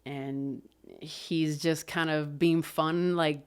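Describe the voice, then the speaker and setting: A woman casually talking through an album review, loose and expressive, swearing and laughing often. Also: deep voice, very slow, clear, resonant tone. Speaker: music reviewer.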